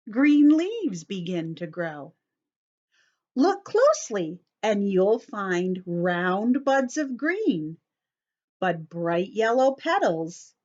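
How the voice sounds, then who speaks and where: A woman reading a storybook aloud to young children in an animated, teacherly way, stopping to explain simply and ask questions. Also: mid-range voice, lo-fi sound.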